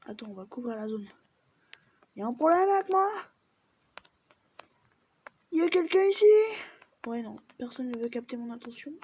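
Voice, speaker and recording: A woman's voice: fairly high pitch, moderate pace, long pauses, slightly rough. Chatty woman muttering over gameplay. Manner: chatty, mutters to herself while playing, often drops to a near-whisper. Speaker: gaming video creator. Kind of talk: casual let's-play commentary. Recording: dull lo-fi mic with steady background noise.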